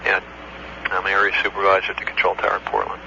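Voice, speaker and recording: A man, an air traffic control supervisor, recounting an incident in a matter-of-fact, halting way on an old taped phone call. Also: deep voice, monotone. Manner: matter-of-fact, halting recall with technical detail. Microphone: telephone.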